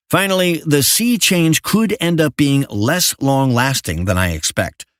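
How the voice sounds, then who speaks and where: An older man reading a financial memo as scripted narration in a measured, formal manner. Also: medium pitch, dry recording, clean studio sound.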